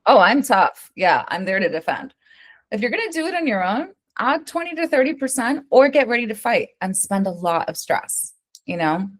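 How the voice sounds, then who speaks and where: An American woman working as an interior designer, answering interview questions in a lively, conversational way and often checking in with "right?". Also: fairly high pitch, moderate pace. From United States. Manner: animated, keeps asking "right?", tells stories.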